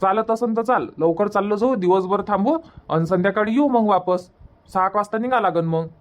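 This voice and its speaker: A man's voice: high voice, moderate pace, lightly acted. Casting: male actor as village husband and dad, cartoon comedy, Varhadi Marathi accent, argumentative yet placating, repetitive. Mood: impatient. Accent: Varhadi Marathi from Vidarbha. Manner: colloquial, repeats himself to make his point.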